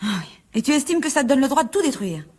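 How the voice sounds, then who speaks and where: A woman's voice: high voice, quick pace. A woman playing a spirited, emotional young actress in a French radio drama. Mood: angry, reproachful. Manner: emotional, expressive. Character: hopeful, spirited young actress, easily moved, quick to reproach.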